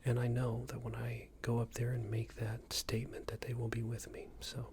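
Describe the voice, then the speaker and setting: Guy doing a smug boss asmr roleplay, whispery gravelly murmur, talks down to you, kinda noisy recording. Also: monotone, moderate pace, lightly acted. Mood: passive-aggressive. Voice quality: breathy soft whisper with low creaky murmur. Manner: hesitant, condescending, meandering reprimand. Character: smug, unreasonable male boss scolding an employee, passive-aggressive.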